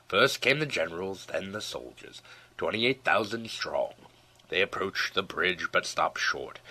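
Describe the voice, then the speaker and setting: A man narrates a historical tale in a fairly low voice with a bright, forceful edge. His delivery is scripted storytelling, measured and dramatic, as in an audiobook. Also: low-quality recording.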